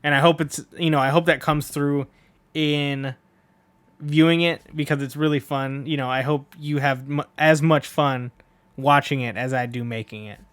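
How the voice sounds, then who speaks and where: A man's voice: on the high side, fairly even intonation, normal speed, fairly bright tone, clear, resonant tone, dry recording. A man hosting a read-along, chatting casually and enthusiastically to viewers in a rambling, informal way. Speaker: video host and read-along performer.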